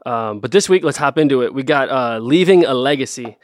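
A man's voice: mid-range voice, lively intonation, a little fast, no echo. Dry-sounding man hosting a chat. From Ohio. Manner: friendly, rambling, restarts often. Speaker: podcast host. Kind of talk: casual conversation.

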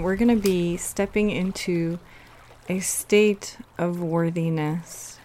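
A woman leading a guided meditation, giving soothing, unhurried instructions with pauses. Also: fairly low pitch, darkish tone, a little hiss or hum.

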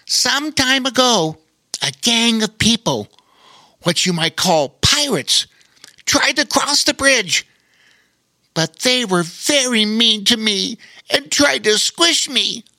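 A cheerful little bark spider, as a man puts him on, talking in a high, squeaky, bright voice that bounces all over. He is frank and eager, and the recording is close and dry. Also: animated, slow pace, no echo, full character voice. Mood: chatty. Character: friendly chatty male bark spider. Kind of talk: storybook reading.

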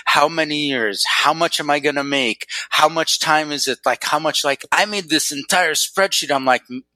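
A man's voice: on the high side, moderate pace, bright, forceful tone, no room sound, poor audio. A middle-aged man chatting as a guest in an interview, talking loosely with lots of fillers and restarts. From Northwest Wisconsin, USA. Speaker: guest, neurologist. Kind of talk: casual interview chat.

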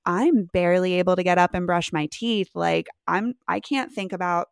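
A woman's voice: fairly low pitch, normal speed, vocal fry, clean tone, dry recording, clean studio sound. A woman hosting a podcast, in earnest, open conversation with a guest, stretching her words for emphasis. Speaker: podcast host. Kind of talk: candid interview chat.

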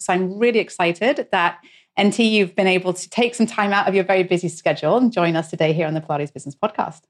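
A woman hosting a business podcast, introducing her guest and asking questions with some fillers and quick laughs. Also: lowish voice. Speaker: podcast host. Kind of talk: podcast interview.

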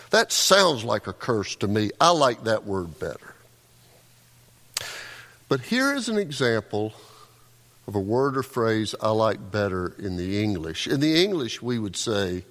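A man's voice: on the low side, a bit bright. A male pastor delivering a conversational sermon, often repeating words as he restarts a thought. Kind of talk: sermon with scripture reading. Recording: long hall reverb.

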